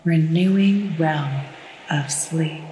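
A woman guides a sleep meditation at a very slow, deliberate pace. Her hushed, soothing delivery sits low in pitch. It is bathed in long, echoing reverb. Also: slight background noise. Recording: echoey long reverb with music behind. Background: relaxation music with ocean waves.

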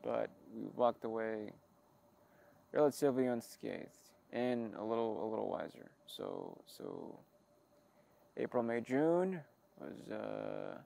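A man giving a rambling, wry personal monologue, halting and often interrupting himself as he talks. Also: on the low side, sing-song, creaky, mellow. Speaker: creator of an audio log channel.

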